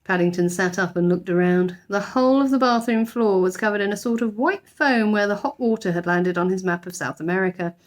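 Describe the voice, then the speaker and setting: A woman's voice: medium pitch, fairly quick, hardly any pauses. A woman narrating a children's storybook expressively, like a teacher reading aloud.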